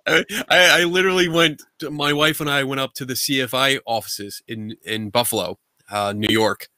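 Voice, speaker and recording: A middle-aged man from the United States talks over a video call, blunt and colloquial, with animated energy. His voice is bright and forceful, with a dry, close sound. He speaks from a room at home. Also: medium pitch, normal speed, dry recording. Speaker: guest paranormal investigator. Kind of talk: casual video call interview. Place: home room full of collectibles.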